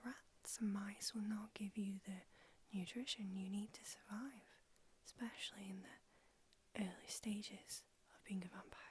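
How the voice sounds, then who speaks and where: A woman whispering in an ASMR roleplay as an ancient, aloof female vampire doctor, unhurried and drily haughty. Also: mid-range voice, darkish tone, natural voice. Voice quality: whispered throughout. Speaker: ASMR creator.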